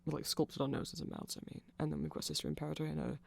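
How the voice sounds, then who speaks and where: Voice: medium pitch, level tone, very fast, gravelly, no echo. A person giving a rambling, informal and opinionated ASMR-style explanation as a content creator.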